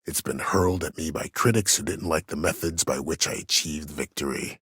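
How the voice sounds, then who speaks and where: An aged, disgraced war admiral for a video game. His voice is extremely low and husky, recorded close and dry. He speaks formally and with measured self-assurance, proud and cunning, with a quiet menace under the courtesy. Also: no echo, heavily acted. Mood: defiant, measured. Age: older. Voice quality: husky, very deep. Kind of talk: video game character dialogue.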